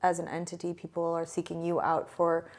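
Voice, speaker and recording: A woman's voice: on the low side, completely flat delivery, brisk, a little mellow. A woman hosting a podcast, interviewing in a casual, supportive way. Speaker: podcast host.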